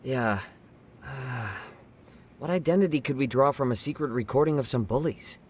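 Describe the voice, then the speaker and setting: A man's voice: mid-range voice, moderate pace, breathy edge, lightly acted. An actor playing an easygoing, curious male sidekick in a video game, talking casually and asking questions.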